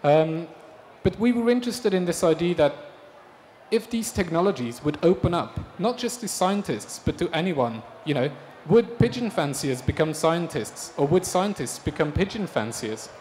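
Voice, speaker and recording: A man from Belgium giving a conference talk about his design projects, relaxed and hesitant, with frequent ums. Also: fairly high pitch, normal speed. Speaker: speaker presenting design projects. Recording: reverberant hall with faint noise.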